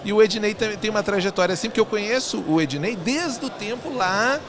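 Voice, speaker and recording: A man's voice: high-pitched, expressive, brisk, crowd noise. A man from southern Brazil, hosting a podcast interview in a chatty, enthusiastic way with rambling questions. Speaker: podcast host. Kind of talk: casual interview chat.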